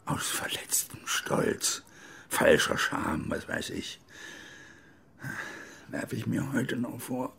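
An actor in a radio drama playing a bitter, stubborn old former army major, halting and agitated, with a gravelly, husky voice. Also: male voice, mid-range voice, animated, a bit bright, whispered, exaggerated voice. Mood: broken, self-reproaching. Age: older. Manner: halting, agitated, self-reproaching, with outbursts. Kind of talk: German radio crime drama acting, interview monologue.

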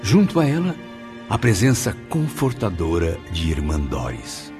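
A man narrating a spiritual radio play in a solemn, measured way. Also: low-pitched, wildly swooping pitch, over music, full character voice.

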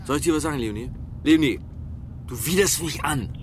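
An actor playing a drunken, self-righteous middle-aged politician who rambles and stammers pompously in a German radio crime drama. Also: male voice, on the high side, a little fast, lightly acted. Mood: contemptuous, drunk. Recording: noisy car interior ambience.

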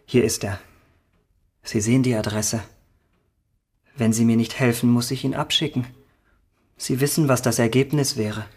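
Husky young gentleman murderer, urgent and insistent. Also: mid-range voice, steady tone, moderate pace, long pauses. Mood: coldly coercive. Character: young gentleman murderer, pleading yet coldly coercive. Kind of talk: radio drama acting.

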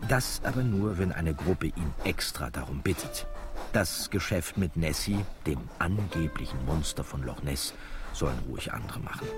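A male narrator with a very deep voice tells a radio play story. He is wry and drops in chatty asides. Music plays clearly behind him. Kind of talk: scripted radio drama narration.

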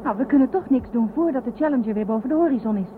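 A cautious, earnest young female space explorer played by an actress in a science fiction radio play. Her voice sits high. The recording is narrow like a phone line, with clear noise. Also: animated, rapid-fire, natural voice. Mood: businesslike. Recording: thin phone-like band, clearly noisy.

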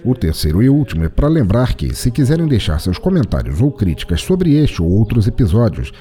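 A man's voice: lowish voice, big pitch swings, brisk, mellow. A Brazilian man hosting a podcast of short stories, speaking in a scripted, formal and literary way. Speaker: podcast host and story narrator. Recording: music behind the voice. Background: music.

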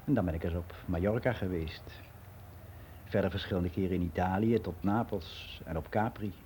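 Male actor as older man giving frank, matter-of-fact radio play monologue; soft dark tone, slightly noisy recording. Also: sits low, normal speed, lightly acted. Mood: matter-of-fact, reminiscing. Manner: matter-of-fact, rambling, candid.

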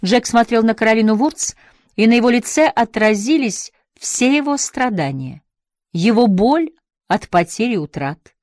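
A woman narrator giving a measured, expressive audiobook reading of literary prose. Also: a little high, no room sound, clean studio sound.

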